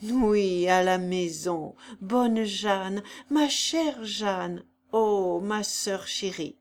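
A woman's voice: mid-range voice, drawn-out words. A woman voicing an older godfather, emotional and tender toward a girl he thought lost. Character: anxious devoted godfather, older man.

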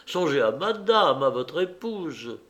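A man's voice: fairly high pitch, sing-song, slow pace, a bit bright, heavily acted. Man playing a timid, pleading, cowardly passenger. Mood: pleading, placating. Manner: pleading, anxious, theatrical. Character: timid, cowardly male artist passenger, pleading, falsely assured. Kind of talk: audiobook character voice.

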